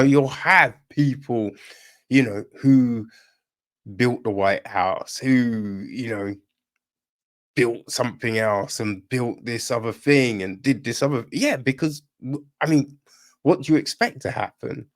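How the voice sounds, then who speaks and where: A man's voice: medium pitch, a little slow. A man giving a casual podcast film review, rambling and opinionated, with constant tag questions. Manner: rambling, frequent tag questions like "right?" and "you know"; opinionated and irritated.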